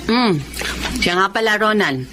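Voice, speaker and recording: A woman's voice: medium pitch, expressive, measured pace, over music, natural voice. A woman playing a watchful, inquisitive mother in a radio drama. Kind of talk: Filipino radio drama acting.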